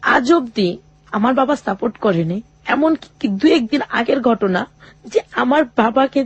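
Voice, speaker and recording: A woman, an interview guest, telling her own hard life story in a halting, earnest way and often quoting what others said to her. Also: a little high, darkish tone, slightly rough, dry recording.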